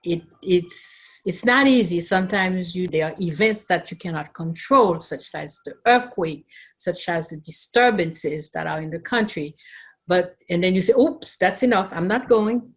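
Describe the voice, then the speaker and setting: A middle-aged Haitian woman who is a nurse and educator, telling her story in an earnest, thoughtful way with pauses and fillers. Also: fairly low pitch. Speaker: nurse and nursing educator, interviewee. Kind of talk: recorded interview, personal recollection.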